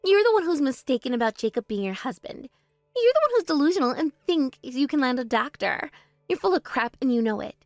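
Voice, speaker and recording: A woman's voice: high-pitched, sing-song, brisk, no echo, studio quality, soft background music. A woman voicing a bratty, boastful younger sister who mocks and brags in a voice drama. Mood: indignant. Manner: mocking, spiteful bragging. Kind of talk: voice drama, one performer.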